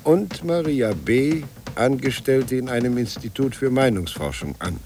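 Male narrator with lively intonation. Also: medium pitch, measured pace, noisy background. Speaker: radio play narrator. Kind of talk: 1950s scripted dramatic narration.

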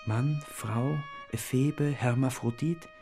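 An actor playing a brooding, captivated motorist who tells his story in a literary radio drama, in halting, intense short phrases. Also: medium pitch, creaky, music in the background. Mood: spellbound. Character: brooding male motorist narrator, captivated and yearning.

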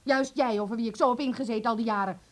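Actress as scornful middle-aged mom in a radio play, highish voice, bossy and reproachful. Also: female voice, racing, lightly acted. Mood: angry, commanding. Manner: indignant, reproachful. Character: bitter, domineering, scornful mother of a teenage son. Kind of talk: Dutch radio drama acting.

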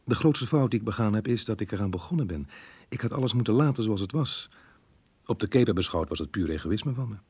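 An actor playing a melancholy married man reading his diary in a radio drama, earnest, reflective and measured. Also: fairly low pitch, expressive, darkish tone, breathy edge, dry recording, exaggerated voice. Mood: guilty, resigned. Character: married man, melancholy diarist torn by guilt over lost love.

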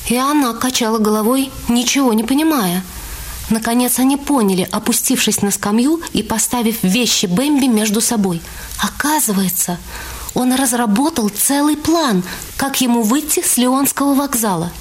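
A woman's voice: fairly high pitch, moderate pace, a little hiss or hum. A woman narrating a dramatized story for radio as a scripted reading.

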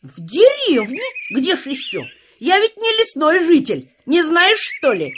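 A woman's voice: high voice, huge pitch swings, measured pace, slight background noise, full character voice. A woman playing a cheeky, boastful male village sparrow in a children's audio play, perky, offhand and teasing.

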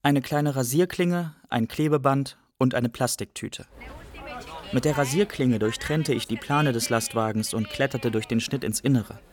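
A man's voice: medium pitch, moderate pace, a little mellow, no echo, lightly acted. A man playing a resourceful, wry young refugee narrating in a literary radio play. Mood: wry, factual.

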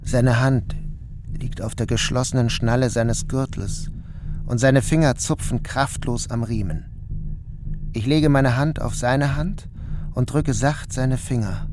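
A man giving calm, measured first-person narration of a literary story. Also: mid-range voice, noisy background. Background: music.

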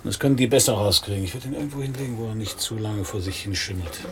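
Gravelly, hard-boiled private detective, acted by a man. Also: on the low side, fast talker, a crowd in the background, natural voice. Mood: terse, cool. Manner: laconic, sardonic, gruff. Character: cynical, hard-boiled male private detective narrating his case. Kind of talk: first-person crime radio play narration and dialogue.

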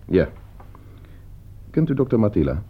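An actor playing a calm, calculating schoolteacher in a radio play, speaking with polite, insinuating control. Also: male voice, on the low side, a little slow, breathy edge, full character voice. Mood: calm, menacing. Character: calm, calculating male schoolteacher, polite but menacing manipulator. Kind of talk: Dutch radio play acting. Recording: muffled old radio play recording.

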